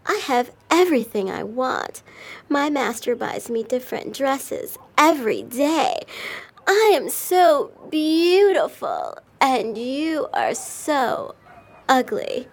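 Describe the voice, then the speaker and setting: A man's voice: extremely high pitch, animated, vocal fry, voice pushed up, heavily acted. A man playing a haughty spoiled kitten. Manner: haughty, drawn-out sneering. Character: vain spoiled pretty female kitten. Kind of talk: storybook reading for learners.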